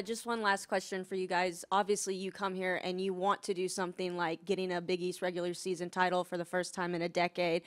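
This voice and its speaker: A woman asking a plain, steady question as a reporter at a postgame press conference. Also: fairly low pitch.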